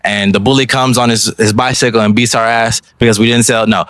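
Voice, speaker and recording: A man's voice: medium pitch, flat delivery, brisk, a bit bright, no room sound. A man hosting an on-location podcast interview outdoors at a film festival, talking in a hyped, slangy, playful way. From Los Angeles. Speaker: podcast host interviewing filmmakers.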